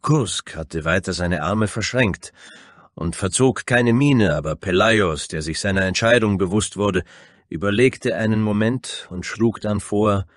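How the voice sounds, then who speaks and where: A man's voice: lowish voice, a little slow, no echo, clean studio sound. A man narrating a scripted fantasy story in a dramatic storytelling style.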